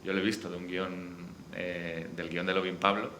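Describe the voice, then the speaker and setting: A man with a Spanish accent from Spain speaks on a discussion panel, unscripted, often halting and restarting his phrases. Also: low-pitched, little pitch movement, sharp tone. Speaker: panelist, series director. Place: live forum venue. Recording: echoey room, faint background noise.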